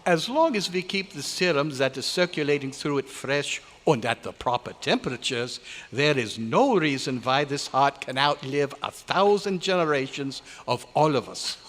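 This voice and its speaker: An actor playing a stern, excitable scientist with a German accent and formal, emphatic phrasing in an old-time radio drama. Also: male voice, a little high, moderate pace. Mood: instructive, proud. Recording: echoey live hall recording, faint noise.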